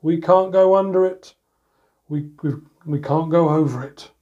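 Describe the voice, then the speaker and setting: Middle-aged male storyteller, grandad role, unhurried pace, expressive picture-book reading for small children. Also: a little high, lo-fi sound. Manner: expressive, with playful sound words and drawn-out phrases. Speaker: grandad reading bedtime stories. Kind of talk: picture-book read-aloud for young children. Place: indoors in a room at home, by a door.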